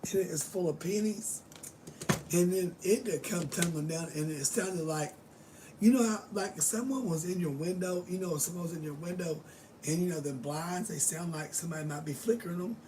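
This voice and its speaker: A woman's voice: fairly low pitch, moderate pace, noisy background. A middle-aged woman who has lost her voice, chatting in a rambling casual way to live viewers from her bedroom, swearing casually and sometimes dropping to a whisper. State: hoarse, losing her voice. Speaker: vlogger greeting live viewers. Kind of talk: rambling casual livestream chat.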